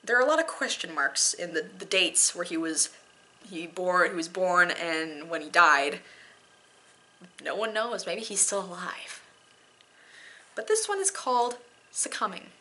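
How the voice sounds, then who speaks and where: A woman's voice: on the low side, very animated, a little slow, long pauses, bright, forceful tone. A woman doing an amateur dramatic poetry reading with casual asides, theatrical and self-deprecating in manner.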